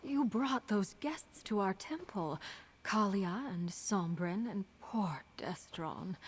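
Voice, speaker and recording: Courteous temple priestess for a fantasy game: breathy husky voice, serene with an arch, wry edge. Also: female voice, mid-range voice. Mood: warm, knowing. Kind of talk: video game character acting.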